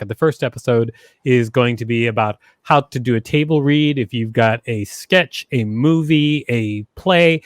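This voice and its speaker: A man's voice: mid-range voice, dry recording, studio quality. A man in middle age hosting a podcast, explaining things conversationally and rephrasing his points as he goes. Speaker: podcast host. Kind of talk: conversational instructional podcast talk. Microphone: close studio microphone with foam windscreen, headset on.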